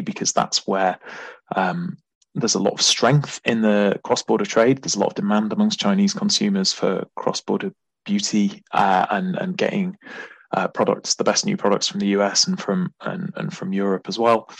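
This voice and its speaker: A man's voice: on the low side, no room sound, low-quality recording. A man explaining his company's work as a director and interview guest. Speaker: client services director, podcast guest.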